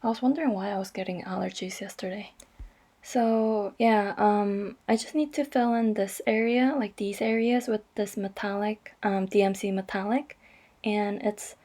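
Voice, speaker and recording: A woman narrating her cross-stitch vlog in a chatty, rambling way, her voice a little raspy and stuffy from allergies. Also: mid-range voice, warm tone. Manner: chatty, rambling, frequent ums. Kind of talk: casual voice-over vlog narration.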